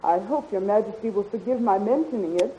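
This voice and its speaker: An actress playing a spirited, teasing young heroine in a 1930s radio adventure serial. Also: female voice, mid-range voice, a little fast, warm tone, close to an everyday voice. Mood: awed, teasing. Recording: muffled, hissy 1930s radio recording.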